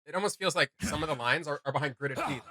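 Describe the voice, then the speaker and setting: A man's voice: on the high side, quick pace, pushed and bright, no echo. A man hosting a reaction video, giving casual, enthusiastic and rambling commentary.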